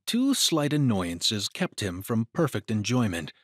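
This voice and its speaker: Male narrator, husky, measured pace, dramatic storytelling, suspenseful, widely varied intonation. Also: fairly low pitch, very animated, a bit bright, no room sound, clean studio sound. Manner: suspenseful, deliberate.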